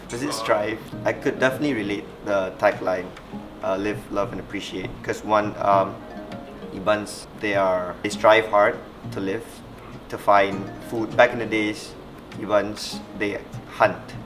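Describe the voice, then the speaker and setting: Low, flat-voiced young man. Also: unhurried. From Sarawak, Malaysia. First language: Iban. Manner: thoughtful, hesitant, with frequent restarts. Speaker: interviewee. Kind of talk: reflective personal interview. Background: background music.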